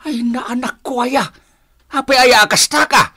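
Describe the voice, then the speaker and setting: A man's voice: very high-pitched, unhurried, sharp tone, husky, exaggerated voice. An actor playing an older father who patiently advises his grown son in a radio drama, with a Filipino accent. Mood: gently reproving. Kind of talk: Ilocano radio drama acting.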